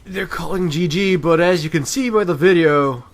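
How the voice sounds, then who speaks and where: A man's voice: fairly high pitch, normal speed. A man giving casual, off-the-cuff gameplay commentary, rambling and correcting himself in crude, slangy language. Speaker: gaming video commentator.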